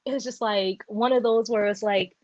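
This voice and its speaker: Casual book-chat woman, rambly and lively, dry dull video-call sound. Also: fairly high pitch, normal speed, dry recording. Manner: rambling, animated, many fillers and restarts. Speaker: book discussion co-host.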